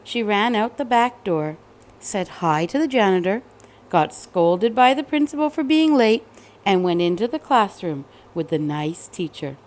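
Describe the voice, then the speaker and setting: Slow, very animated woman storyteller. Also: medium pitch. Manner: emphatic, dramatic. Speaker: storybook reader. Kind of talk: expressive children's story narration.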